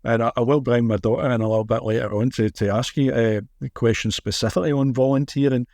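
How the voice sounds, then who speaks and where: A man's voice: mid-range voice, steady tone, fast, a little mellow, dry recording, clean studio sound. A man hosting a podcast interview in a conversational way. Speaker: podcast host.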